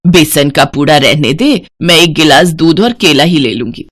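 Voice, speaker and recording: A woman's voice: deep voice, moderate pace, fairly bright tone, voice pushed down. Female performer as older mother-in-law, rural, bossy, scolding, suspicious, blunt, moral story cartoon. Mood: nosy, bossy.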